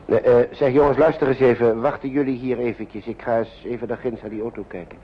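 An actor playing a kindly, worried uncle in a wartime radio play, talking to children in a soothing, coaxing, hesitant way. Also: male voice, mid-range voice, steady tone, brisk, breathy edge, close to an everyday voice. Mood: concerned, coaxing. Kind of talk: Dutch radio play acting. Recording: muffled, noisy old radio recording.

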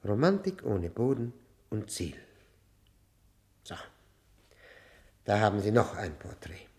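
An educated, bitter male prisoner of a 1960 radio drama. His voice sits fairly low, and he reflects haltingly on himself with theatrical diction and wounded irony. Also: sing-song, darkish tone. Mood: rueful, agitated. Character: bitter, brooding, educated male convict looking back on youth. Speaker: actor in a radio play.

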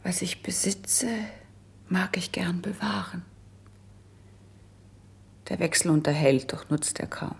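Breathy, melancholy noblewoman, slow and hushed. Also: lowish voice, whispered, full character voice. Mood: wistful sorrow. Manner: solemn, wistful, drawn-out poetic phrasing. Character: noble, melancholy young princess, lovesick and resigned. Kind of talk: classical verse radio drama, declaimed.

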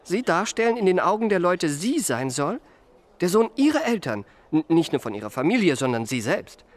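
An actor in a German radio drama playing a polite young confidence man with courteous, measured, carefully phrased speech. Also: high voice, big pitch swings. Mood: incredulous. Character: polite, smooth-talking young confidence man, attentive listener.

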